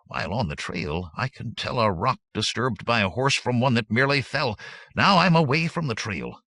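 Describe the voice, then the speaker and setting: A man's voice: medium pitch, expressive, normal speed, bright, forceful tone, no room sound, voice pushed up. A man voicing a nervous, cowardly bandit tracker in an audiobook, protesting fearfully. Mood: nervous, defensive. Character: nervous, cowardly, pinch-faced male bandit tracker, defensive.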